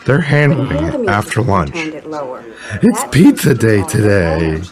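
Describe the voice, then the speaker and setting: A man's voice: mid-range voice, sing-song, a crowd in the background. A man playing a dim, childlike, proudly manly sports reporter, earnest and distracted in a parody commentary. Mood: proud, oblivious. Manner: slow, earnest, easily distracted. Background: loud music behind the voice.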